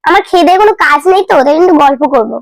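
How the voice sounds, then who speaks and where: A woman speaks in a very high voice at a fast clip, as a teasing prank caller. The recording is dry, with little room sound. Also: lively intonation, no room sound. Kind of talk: casual teasing phone chat.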